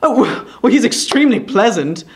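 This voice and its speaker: A man voicing a fawning, obsequious lawyer who gushes and stammers flattery. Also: very high voice, normal speed, voice pushed up, full character voice. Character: fawning obsequious male lawyer, middle-aged.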